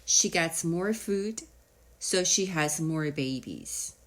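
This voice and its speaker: A woman narrating a children's nonfiction audiobook in a measured, explanatory way with deliberate pauses. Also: low-pitched, lively intonation. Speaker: audiobook reader.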